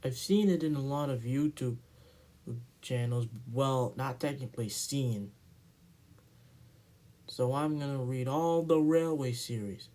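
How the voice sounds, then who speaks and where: A man narrating a children's storybook aloud in an amateur, expressive read-aloud style. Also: mid-range voice, unhurried.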